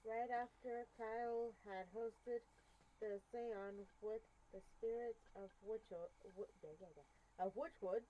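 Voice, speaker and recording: A woman streamer reading game text aloud with deliberate, expressive delivery. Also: fairly high pitch, slight background noise, low-quality recording.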